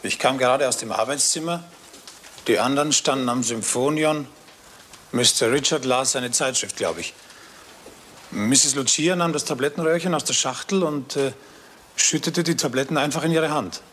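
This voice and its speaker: Man as nervous, halting witness. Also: mid-range voice, a bit bright, slight background noise, close to an everyday voice. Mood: nervous, reluctant. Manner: halting, evasive, deferential. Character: hesitant, nervous male household witness, deferential. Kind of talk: German radio crime drama acting.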